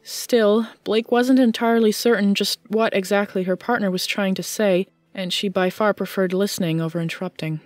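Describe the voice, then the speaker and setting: A woman's voice: medium pitch, steady tone, moderate pace, few pauses, a little mellow, no echo. A woman reading scripted fiction as the narrator of an audiobook.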